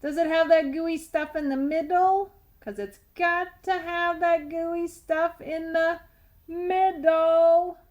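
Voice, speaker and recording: Woman voicing a loud, bossy talking tooth. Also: very high-pitched, steady tone, slow, highs cut off, voice pushed up. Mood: demanding. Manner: nagging, brash, impatient.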